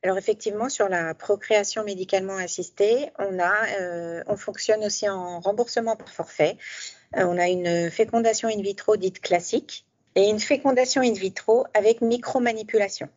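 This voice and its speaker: A woman's voice: mid-range voice, steady tone, normal speed, darkish tone. A woman expert answering interview questions, explaining details with frequent hesitations. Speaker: insurance fund expert. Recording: dull lo-fi sound.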